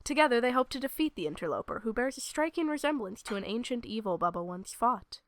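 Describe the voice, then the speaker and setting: A woman's voice: fairly high pitch, lively intonation, quick pace, dry recording. A woman narrating a scripted recap for a radio serial.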